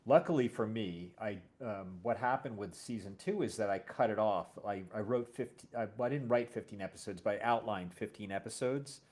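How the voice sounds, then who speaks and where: A man hosting a casual conversation as a series creator, thinking aloud in a halting way and often correcting himself. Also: on the low side, darkish tone. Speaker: host and audio drama series creator. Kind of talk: casual interview chat.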